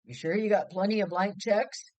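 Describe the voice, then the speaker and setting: A woman's voice: mid-range voice, normal speed, a little mellow, pitched above the performer's own voice, close to an everyday voice, rough recording. A woman voicing a sociable, solicitous middle-aged banker husband in a storybook, in a hearty, emphatic manner. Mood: shouting, hearty. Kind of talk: storybook character voice.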